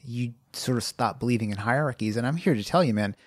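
A man's voice: mid-range voice, fast, dry recording, studio quality. A man co-hosting a podcast in casual chat, rambling with many "like"s and restarts. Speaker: podcast co-host.

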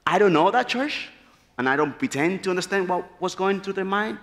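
A man delivers an earnest, animated sermon from the pulpit. His voice sits slightly high for a man. The sound carries a long reverb from the large church hall. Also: a little fast. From Dominican Republic. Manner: animated, gesturing freely, earnest storytelling. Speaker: preacher. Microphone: pulpit mic.

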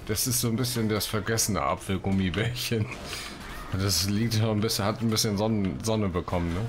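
Sehr tiefe Männerstimme beim Let's Play. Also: male voice, fairly low pitch, a little fast, noisy background. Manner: joking, muttering to himself. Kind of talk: casual gaming commentary with read-out quests. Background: game music.

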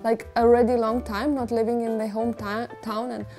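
A woman's voice: on the high side, level tone, moderate pace, warm tone, faint music behind. A young woman from Lithuania talking hesitantly about her film and her home, searching for the words. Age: young adult. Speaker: filmmaker. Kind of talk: casual interview. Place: small decorated bedroom.

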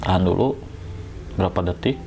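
A man's voice: sits low, normal speed, vocal fry, mellow, slight background noise, poor audio. A man with an Indonesian accent from West Java, giving a casual, slangy, jokey hands-on tutorial as a motovlogger. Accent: Sundanese-region Indonesian. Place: indoors at home.

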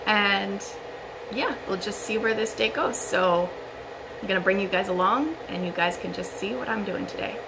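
A woman in her thirties chatting casually to the camera in her home dining room, relaxed and talky with little fillers. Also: mid-range voice, moderate pace, creaky, noisy background. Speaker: vlogger.

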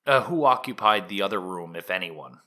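A man playing a courteous, probing prosecuting attorney in a dramatic reading of an old trial, with formal, measured questioning. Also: low voice, lively intonation, sharp tone.

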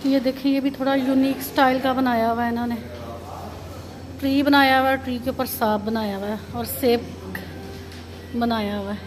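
A woman's voice: sits high, fairly even intonation, moderate pace, long pauses, music in the background. A woman from Pakistan, narrating a casual walking tour as a vlogger and pointing things out as she goes, recorded on a handheld phone. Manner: pointing things out, repeating "look at this". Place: chocolate shop and busy shopping street.

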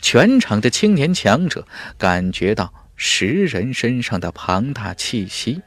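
A man's voice: medium pitch, big pitch swings, slow, dry recording. A man reading a fantasy audiobook as narrator in a dramatic storytelling style. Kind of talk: dramatic scripted narration of a fantasy web novel.

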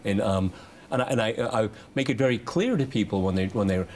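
A man in his fifties moderating a political panel, halting and repeating words for emphasis. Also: lowish voice, a little mellow, slight background noise. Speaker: talk show host and moderator. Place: television studio round table.

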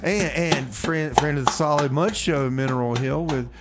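A man's voice: on the high side, moderate pace, heavy background noise. A man hosting a casual music radio show with comic banter, stammering and rambling as he repeats phrases. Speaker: radio host.

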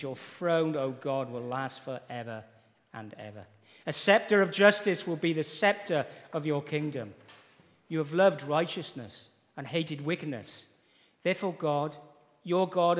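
A man leading a church service, reading scripture aloud in an earnest, measured way and praying. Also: on the high side. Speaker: church leader introducing the preacher. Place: church sanctuary with wooden panelling. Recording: long reverb of a church hall.

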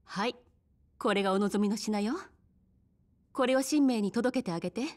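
A woman voicing a composed, mysterious bar madam in a Japanese video game, speaking politely and softly feminine in phrasing. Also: mid-range voice, normal speed, lightly acted. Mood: grave, knowing.

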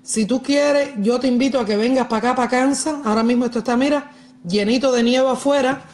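High-pitched Cuban woman, emphatic. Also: fairly quick, a little mellow. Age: middle-aged. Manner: emphatic, repeats herself, addresses viewers directly. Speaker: livestream host. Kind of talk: casual livestream chat. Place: large living room at home. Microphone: wired earphone mic.

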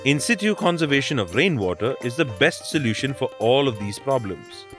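A man's voice: medium pitch, sing-song, a little fast. A man reading scripted instructional narration for a documentary. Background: background music.

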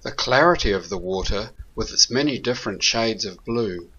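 A man narrating a sailing trip in a calm, measured, matter-of-fact voice-over. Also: on the low side, muffled recording. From New Zealand. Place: recorded separately from the pictured scenes. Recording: voice-over with loud background music.

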